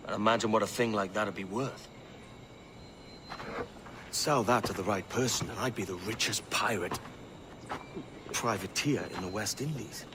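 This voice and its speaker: An actor playing a cocky, ambitious young pirate in a video game cutscene. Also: male voice, lowish voice, background noise, lightly acted.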